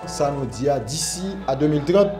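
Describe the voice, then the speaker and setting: A man's voice: on the high side, lively intonation, moderate pace. A man with a Haitian accent explaining things in a documentary interview. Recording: music bed behind voice.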